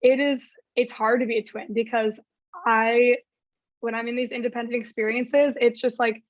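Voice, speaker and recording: A raspy-voiced young woman talks in a loose, rambling way, packing her sentences with fillers. Her voice sits fairly high. The sound is dry and slightly muffled. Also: flat delivery, moderate pace, no echo. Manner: chatty, heavy use of "like". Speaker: podcast guest. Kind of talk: casual chat.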